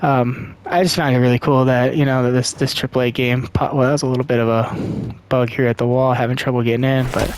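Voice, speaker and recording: A soft-spoken man giving casual let's-play commentary into a desktop microphone, thinking aloud with lots of ums and uhs. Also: medium pitch, flat delivery, a little fast, few pauses, smooth. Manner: rambling, thinking aloud with many fillers.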